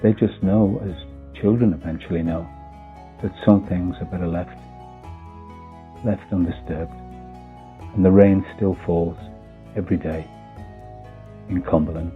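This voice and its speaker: A man's voice: deep voice, fairly even intonation, measured pace, pauses often. A man reading a scripted first-person story as its narrator. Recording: muffled phone-like audio with faint music. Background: faint music.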